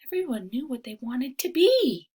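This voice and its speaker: A woman teacher reading a children's storybook aloud with expressive narration. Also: high-pitched, normal speed, slightly rough.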